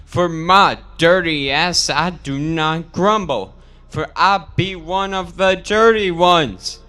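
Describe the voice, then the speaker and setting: Man performing a very slow, declamatory old boar orator. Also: a little high, bright, forceful tone, smooth, soft background music, voice pushed up. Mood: grave, indignant. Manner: declamatory, preaching, slow with dramatic pauses. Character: old boar pig orator, rousing revolutionary farm leader. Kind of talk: theatrical audiobook reading in slangy parody speech.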